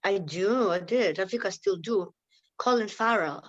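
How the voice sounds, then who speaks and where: A woman's voice: mid-range voice, a little slow. A woman from Lithuania speaks with a Lithuanian accent, frank and unfiltered, swearing freely. She laughs easily as she talks. The recording sounds a little dull and lo-fi. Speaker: interview guest. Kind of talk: casual candid interview.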